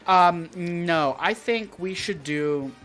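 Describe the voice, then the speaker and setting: A man's voice: sits high, takes it slowly, a bit bright. A man co-hosting a livestream in casual chat, thinking aloud hesitantly into a close microphone. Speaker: live streamer co-hosting. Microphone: close XLR microphone on a stand. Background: music and keyboard typing.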